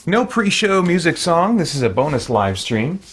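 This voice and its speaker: A man's voice: fairly high pitch, sing-song, moderate pace, a bit bright, breathy edge. Man rambling casually through a game livestream. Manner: rambling, hesitant thinking aloud. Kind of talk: casual gameplay commentary with live chat.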